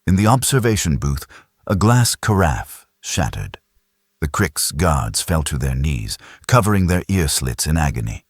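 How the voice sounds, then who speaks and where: A man's voice: a bass voice, very animated, a little slow, breathy edge, no echo, clean studio sound. A man reading dramatic scripted science fiction as an audiobook narrator.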